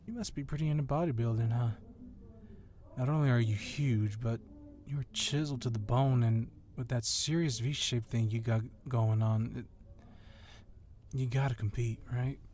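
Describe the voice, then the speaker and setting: Man playing a mellow, admiring athletic cop. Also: mid-range voice, normal speed, natural voice. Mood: teasing. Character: athletic, agile, admiring yet confident police officer. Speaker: voice actor in audio roleplay. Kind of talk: ASMR roleplay acting.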